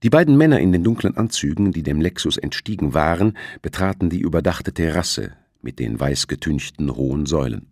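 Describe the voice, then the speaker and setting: Husky, deep-voiced man narrating. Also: animated, a little fast, few pauses, darkish tone, no room sound, studio quality. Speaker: audiobook narrator. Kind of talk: scripted novel narration.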